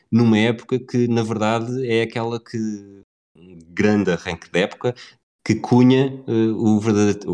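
Podcast co-host, male, European Portuguese accent, deep voice, conversational sports storyteller with a halting, think-aloud delivery. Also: darkish tone. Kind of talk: conversational football history storytelling.

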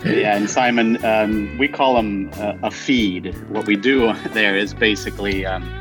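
A man's voice: lowish voice, steady tone, a little slow, music in the background. A middle-aged man from Latin America speaking as a podcast guest, telling his story in a casual, informal, rambling way. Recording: dull, muffled band-limited audio.

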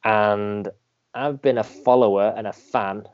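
Enthusiastic podcast host, warm low voice. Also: male voice, steady tone, a little slow, dull audio. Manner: enthusiastic, fillers like "like". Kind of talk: casual interview.

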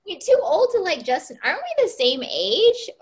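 A woman's voice: extremely high pitch, sing-song, moderate pace. A woman in her mid-thirties co-hosting a casual chat, bubbly and gushing, often giggling. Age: 30s.

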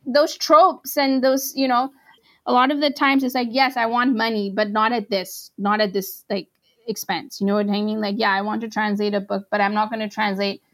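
A woman's voice: mid-range voice, flat delivery, normal speed. A woman from Pakistan, a translator guest in an interview, who talks in a chatty, casual way full of fillers. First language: not Arabic. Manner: chatty, full of "like" and "you know".

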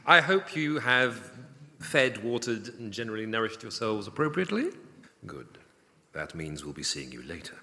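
A man's voice: on the low side, sing-song, moderate pace, sharp tone. Theatrical man announcing in an echoey hall. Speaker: event announcer introducing a panel. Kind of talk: playful stage introduction. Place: live event hall. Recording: echoey hall with long reverb.

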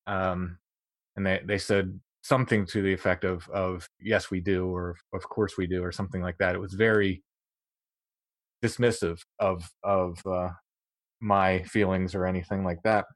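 Man, middle-aged, deep-ish voice, dry sound; halting interview storyteller. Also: dry recording. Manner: halting, many restarts and fillers, occasional laughs. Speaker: guest recounting experiences.

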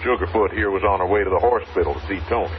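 Folksy, chummy male tourist with a rural American twang played by an actor, low voice, muffled old radio sound. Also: rapid-fire, a little hiss or hum, lightly acted. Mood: flustered, genial. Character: folksy, nosy, jovial American tourist man. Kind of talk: 1950s radio drama acting.

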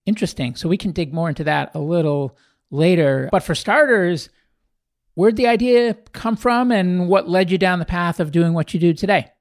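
A man's voice: high-pitched, moderate pace, no echo. Male podcast host, close dry sound. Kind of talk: podcast introduction and interview.

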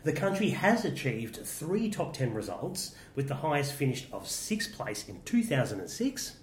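A man's voice: mid-range voice, very animated, moderate pace. A wry, teasing man whose voice moves up and down a lot as he reads out facts and jokes with his co-host. The room gives a lot of echo, and there is a faint hiss of noise. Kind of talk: casual chat reviewing song contest entries.

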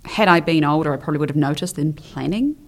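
A woman's voice: deep voice, fast talker. A young woman from the Isle of Man talking casually as an interview guest, chatty and hesitant, full of ums and trailing phrases.

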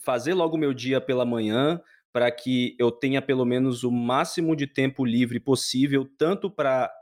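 A man's voice: mid-range voice, normal speed. A man from Salvador with a Bahian accent, chatting relaxedly as a podcast guest and often tagging his sentences with questions. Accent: Bahian Portuguese. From Salvador, Bahia, Brazil. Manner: relaxed, lots of tag questions. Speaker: guest, advertising copywriter. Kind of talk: casual interview chat.

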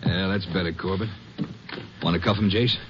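An actor playing a Texas Ranger's watchful, plain-spoken partner in a 1950s radio western. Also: male voice, deep voice, steady tone, normal speed, close to an everyday voice. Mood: relieved. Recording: muffled, noisy old radio recording.

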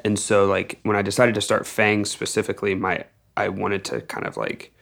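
A man's voice: lowish voice, steady tone, normal speed, gravelly, fairly bright tone. A young American man from Texas, telling stories casually and informally as a musician guest, rambling and stressing key words. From Texas, United States. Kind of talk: casual storytelling in an interview.

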